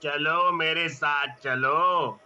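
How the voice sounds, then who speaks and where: A man playing a bossy, threatening headless ghost in a cartoon horror story, with a drawn-out, commanding delivery. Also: on the high side, pushed and bright, clean tone, heavily acted. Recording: noisy, dull recording.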